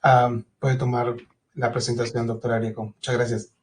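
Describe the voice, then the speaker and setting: A man moderating a webinar and introducing the speaker formally, halting and repeating syllables. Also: medium pitch, flat delivery, far from the mic, slight background noise.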